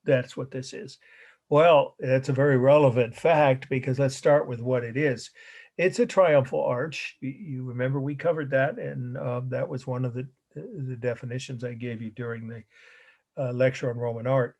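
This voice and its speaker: A middle-aged man lecturing a college art history class, slightly hoarse, rambling with fillers and self-corrections. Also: mid-range voice, fairly even intonation, moderate pace, a little mellow.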